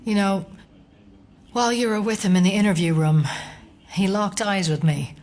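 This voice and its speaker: A woman's voice: mid-range voice, moderate pace, a little mellow, lightly acted. An actress as a serious, uneasy female agent. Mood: serious, determined. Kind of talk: audio drama acting.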